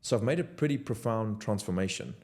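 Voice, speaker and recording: A man's voice: lowish voice, expressive, a little fast, a little mellow. A young man, talking unscripted to camera from his home desk in an earnest, motivational way. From South Africa. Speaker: content creator. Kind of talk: unscripted talking-head vlog.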